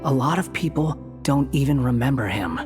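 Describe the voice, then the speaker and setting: A man's voice: medium pitch, expressive, moderate pace, lightly acted. A man voicing a grumpy, aloof stray tomcat in a video game, curt and sullen. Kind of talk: animated video game acting. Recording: music behind the voice.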